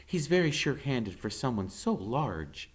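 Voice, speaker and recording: A man's voice: mid-range voice, big pitch swings, moderate pace, breathy edge, pitched above the performer's own voice. A man voicing a kindly, older grandmother who speaks approvingly.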